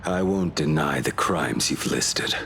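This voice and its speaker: A man playing a grim, haunted outlaw swordsman in a game cutscene, brooding and solemn, with a gravelly voice. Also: lively intonation, slow pace, fairly bright tone, slight background noise, exaggerated voice. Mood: grave, resolute. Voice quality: very deep, gravelly. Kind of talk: dramatic game cutscene acting.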